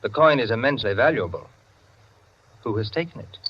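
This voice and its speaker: An actor playing an opinionated, self-satisfied clubman who lectures his friends in theatrical 1940s radio style, with savored pauses. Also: medium pitch, expressive, slight background noise, close to an everyday voice. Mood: savoring, dramatic. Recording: dull, band-limited 1940s radio recording.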